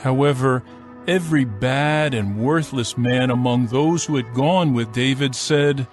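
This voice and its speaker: A man narrating scripture from a script in a solemn, measured storytelling manner. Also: mid-range voice, soft background music.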